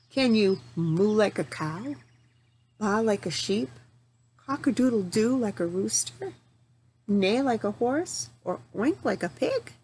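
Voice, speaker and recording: A woman in her forties reading aloud to young children as their teacher, phrasing things simply and deliberately and asking them questions. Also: mid-range voice. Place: home room in front of a large window. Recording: steady noticeable background noise.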